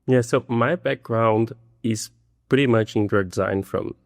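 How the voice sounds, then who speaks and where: A man's voice: mid-range voice, measured pace, no echo, studio quality. A man from Brazil, a drug design co-founder, speaking earnestly as a podcast guest.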